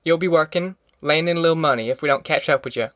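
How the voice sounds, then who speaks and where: Man voicing a direct young farmhand, fairly high voice. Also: quick pace, a bit bright, no echo, studio quality, lightly acted. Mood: persuading. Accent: rural Southern American. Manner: folksy, direct, persuading. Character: plain-spoken young farm man, practical grown son. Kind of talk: audiobook character acting.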